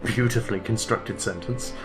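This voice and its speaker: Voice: mid-range voice, moderate pace, music in the background, rough recording. A co-host listening to a read-aloud and throwing in dry, sarcastic remarks. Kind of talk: sarcastic commentary.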